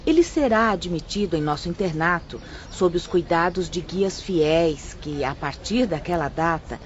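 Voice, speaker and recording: A woman playing a gentle female spirit instructor who explains things calmly and kindly to new apprentices in an audio drama. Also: lowish voice, moderate pace, close to an everyday voice. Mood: patient. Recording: slightly dull, faint background hiss.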